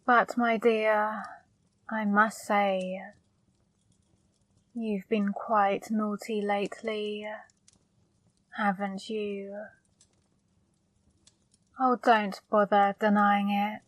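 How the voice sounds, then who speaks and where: A woman playing a flirty, teasing female demon in an intimate ASMR roleplay, speaking softly and breathily, coaxing and gently scolding up close. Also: medium pitch, level tone, extremely slow, long pauses, dry recording. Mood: playfully accusing. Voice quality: soft, breathy, partly whispered. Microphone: close microphone, dry.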